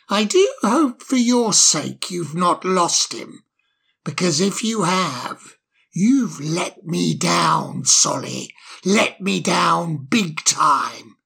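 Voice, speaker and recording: Guy putting on a sly sarcastic fox boss, slow and menacing, swoops up and down, husky gravelly edge. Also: high-pitched, bright, forceful tone, exaggerated voice. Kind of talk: storybook audiobook character voice.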